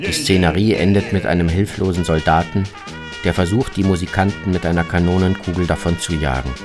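A man's voice: sits low, brisk, music in the background. A man narrating a scripted, literary travel piece for radio as its reporter.

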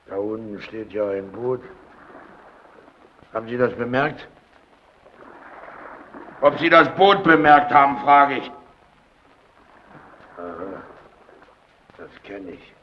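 An actor playing a domineering, suspicious and irritable lighthouse keeper in an old German radio drama, speaking in a stern, interrogating way. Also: male voice, medium pitch, close to an everyday voice. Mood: grumbling, goading. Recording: dull, noisy old radio recording.

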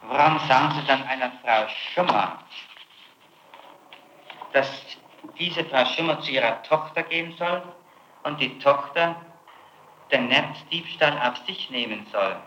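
Through a dull, echoey 1960s tape recording comes a man with a forceful, sharp-edged voice at a middling pitch. He conducts a formal interrogation as a prosecutor, pressing and challenging with his questions. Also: unhurried, long pauses, a little hiss or hum.

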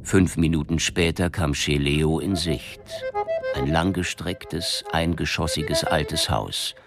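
A man's voice: extremely low pitch, slow, vocal fry, over music. A man reading scripted narration as the storyteller of a radio drama.

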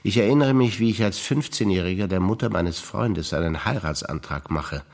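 An older man, a stage actor reciting memories in a measured, alternating theatre piece. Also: deep voice, gravelly.

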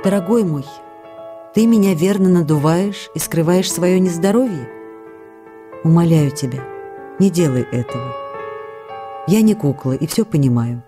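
A woman playing a loving, worried actress wife who reads her letters in a radio drama. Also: sits low. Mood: anxious, reproachful. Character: loving, anxious actress wife, tender and reproachful. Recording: music playing behind the voice.

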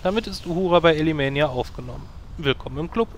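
A man's voice: a little high, fast talker, natural voice. A man voicing a sarcastic, bossy guild leader, with faint background noise. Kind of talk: parody audio drama.

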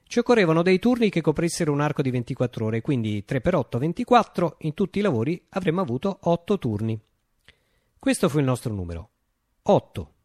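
An actor playing a visionary man recounting his utopian revolution in a declamatory, deliberate radio monologue. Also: a little high, a little mellow, no echo, studio quality. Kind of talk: theatrical radio monologue, dramatic storytelling.

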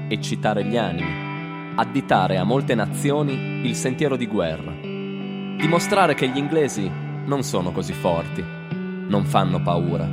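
A man reading a story in a theatrical, declamatory way, in short deliberate phrases. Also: lowish voice. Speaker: storyteller, reader of own texts. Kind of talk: dramatic narrated reading over live music. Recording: voice over loud live guitar music. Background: guitars and rhythm section.

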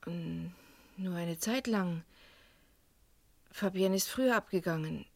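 A woman's voice: lowish voice, slow pace, gravelly, dry recording, heavily acted. An actress playing a cool, guarded young nightclub woman under questioning in a German crime radio play, evasive, curt and dryly dismissive.

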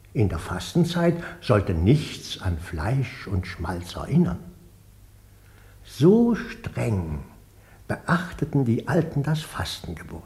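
An actor playing a grandfather who recounts pious old village life in a deliberate, emphatic radio drama monologue. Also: mid-range voice, a little mellow.

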